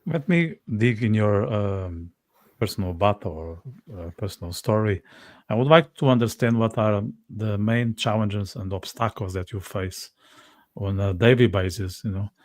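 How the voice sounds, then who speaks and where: A man hosting a podcast panel, asking questions with quick, apologetic asides. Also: on the low side, a little mellow, no room sound, clean studio sound. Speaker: podcast host. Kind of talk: interview questions.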